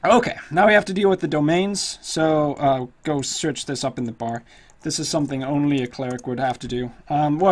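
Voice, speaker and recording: A slightly hoarse man casually walking through a tabletop character build, thinking aloud with lots of fillers. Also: a little high. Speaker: tutorial host. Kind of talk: casual screen-recorded game tutorial.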